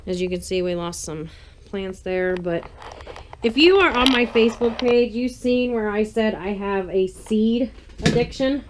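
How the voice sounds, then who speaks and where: A woman vlogger giving a chatty, casual walk-through of her garden, pausing between short phrases. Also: mid-range voice. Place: small greenhouse and open farm garden, outdoors. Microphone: action camera's built-in mic, worn or handheld. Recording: outdoor handheld action camera with background noise. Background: clear ambient outdoor noise.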